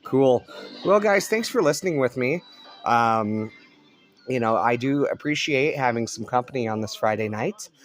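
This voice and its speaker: A man's voice: mid-range voice, expressive, normal speed, soft background music. A man chatting casually and thinking aloud as a hobbyist host, in a laid-back way. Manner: laid-back, musing aloud in short remarks. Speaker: vlog host, shortwave radio hobbyist. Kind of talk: casual chat while scanning the radio. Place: home radio room, indoors.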